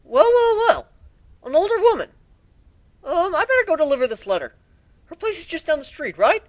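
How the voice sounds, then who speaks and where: A man voicing a cheeky, excitable young dragon boy in a storybook reading. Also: very high voice, normal speed, pitched above the performer's own voice. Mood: bashful, excited. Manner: grumbling, boyish, excitable. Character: young male dragon, cheeky, eager, easily smitten.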